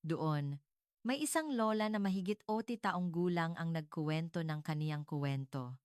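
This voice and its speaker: A woman reading a scripted dramatic narration in a measured, earnest storytelling manner. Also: low voice, a little mellow, smooth, no echo, clean studio sound.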